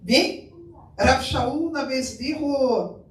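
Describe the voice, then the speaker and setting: A man's voice: extremely high pitch, drawn-out words, fairly bright tone. A man from Brazil preaching a sermon, mixing Portuguese with Spanish and putting questions to the congregation. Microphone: far from the mic. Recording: echoey room, mic far off, faint noise.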